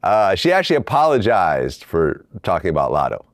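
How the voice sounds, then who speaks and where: Man, interview host, casual conversational delivery, lowish pitch, varied melody. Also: wildly swooping pitch, a little fast, dry recording, clean studio sound. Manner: conversational, lays out background before asking.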